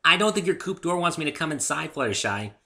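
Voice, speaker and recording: A man voicing a bubbly, stubborn, goofy female pony in a story reading, loud, excitable and insistent. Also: fairly high pitch, fast talker, vocal fry, voice pushed up, full character voice. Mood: straining, cheerful. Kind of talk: dramatic reading of fan fiction.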